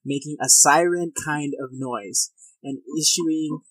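A man's voice: on the high side, slow, a bit bright, rough recording. A man of thirty hosting a podcast in a casual, informal and self-deprecating way. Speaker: podcast host. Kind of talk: casual podcast talk and reading of accounts. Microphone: headset, recording at own setup.

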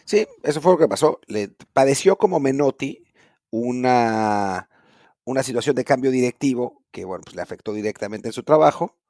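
A man with a Mexican accent talks football in a relaxed, conversational way, often checking in with tag questions. His voice is mid-pitched. The sound is dry, with no room echo. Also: moderate pace. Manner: casual, thinking aloud with frequent tag questions. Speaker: podcast co-host. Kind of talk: casual sports chat.

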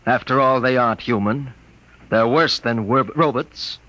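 Cold-blooded space crewman, indignant, sharp-edged forceful voice, classic radio drama. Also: medium pitch, a little slow, poor audio. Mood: cold, resolute. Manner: hard, forceful.

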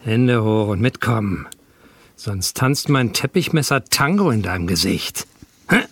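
A man playing a menacing, jealous crook in a comic radio play, sneering out drawn-out threats and cutesy pet names. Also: on the low side, expressive, breathy edge, full character voice. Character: menacing, jealous male crook with a carpet knife.

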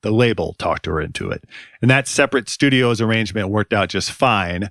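A man hosting a music podcast, reading scripted commentary in a dry, wry, deadpan way. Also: lowish voice, a little fast, creaky, dry recording. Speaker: podcast host.

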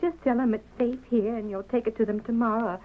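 A woman's voice: fairly high pitch, fairly even intonation, a little fast, close to an everyday voice. An American actress playing an anxious, devoted frontier wife and mother, fretful and pleading, in old-time radio style. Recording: muffled narrowband vintage radio recording.

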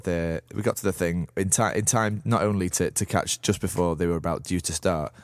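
Man, Liverpool accent, hoarse, deep, dry studio sound, halting casual chat. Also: fairly even intonation, dry recording. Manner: halting, lots of restarts and stammers. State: hoarse from a cold. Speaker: podcast co-host.

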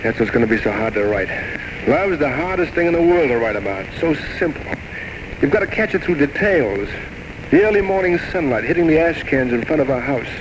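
Tormented, self-loathing failed writer in his thirties, played by a male actor; highish, very animated, theatrical monologues, noisy vintage radio. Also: brisk, close to an everyday voice. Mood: dreamy, boastful. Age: 30s. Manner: rambling, theatrical, bitterly self-mocking monologues. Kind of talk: 1940s-1950s radio drama acting. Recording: noisy old radio broadcast recording.

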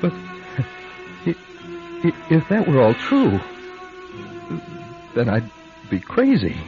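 An actor playing a nervous, easily startled photographer in a radio drama, halting and stammering as he talks. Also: on the high side, huge pitch swings, music in the background, full character voice. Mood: frightened, denying. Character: nervous, guilt-haunted male studio photographer, easily startled. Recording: dull band-limited radio drama.

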